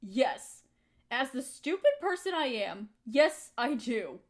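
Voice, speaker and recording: A woman's voice: a little high, sing-song, takes it slowly. A young woman acting a hurt, resentful friend in a dramatic audio roleplay, speaking in an emotional, accusing way. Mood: subdued, wary.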